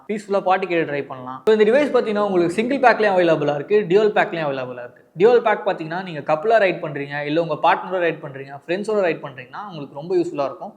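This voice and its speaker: A man with an Indian Tamil accent presenting an unboxing and product review, explaining directly to viewers and mixing in English words. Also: a little high.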